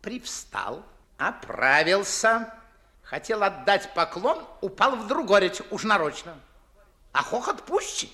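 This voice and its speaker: A pompous old Moscow nobleman in a classic radio comedy. His voice is high and bright, and he lectures fussily in slow, theatrical, weighty phrases. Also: big pitch swings, full character voice. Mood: admiring, animated reminiscence. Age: older. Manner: theatrical, declamatory, drawn-out emphatic phrasing. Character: pompous, fussy old aristocratic Moscow father and official. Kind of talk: 1950s stage-style radio play acting.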